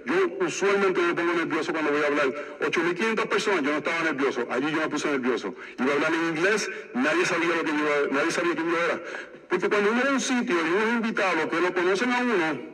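A man's voice: fairly high pitch, fast, bright, forceful tone. A man preaching a sermon as pastor of a church, with a slight lisp and an emphatic, repetitive way of exhorting the congregation. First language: Spanish. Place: church sanctuary. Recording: echoey hall, voice from far off.

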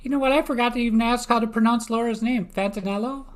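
A woman's voice: on the high side, quick pace. A woman with an American accent from Minnesota, co-hosting a podcast in casual interview chat. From United States, Minnesota. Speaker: podcast co-host.

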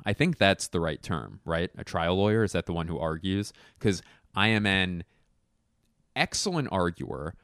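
A young man talks in a deep, smooth, resonant voice, recorded close and dry. He rambles through a casual chat with quick asides and restarts. His tone is self-mocking yet sure of himself. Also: fairly bright tone, no room sound.

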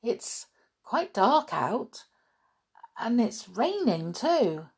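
A woman's voice: on the high side, big pitch swings, very slow, darkish tone, slightly rough, pitched above the performer's own voice, heavily acted. A woman voicing a timid, cautious male mouse in a children's storybook, hesitant and stammering. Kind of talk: children's storybook read aloud.

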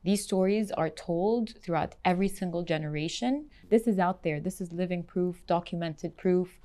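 A woman hosting a podcast conversation, speaking in a quiet, heartfelt way. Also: lowish voice, normal speed. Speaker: podcast host.

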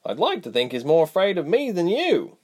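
Storybook role: a man doing a sharp-tongued woman healer, high-pitched, firm and level, with lively swings. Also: brisk, full character voice. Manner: calm, level, scolding. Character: blunt, sharp-tongued woman healer, calm but livid.

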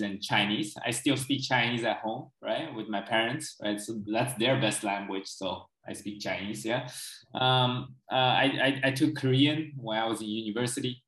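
A young man talking as an interview guest, explaining his background in a relaxed, conversational way and often checking in with the listener. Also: mid-range voice, moderate pace. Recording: roomy echo.

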